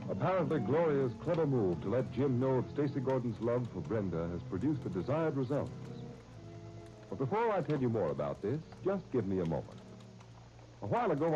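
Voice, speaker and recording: Male American announcer, vintage 1930s radio, folksy persuasive pitch, swooping intonation, dull lo-fi recording. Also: very animated, fairly quick, music in the background.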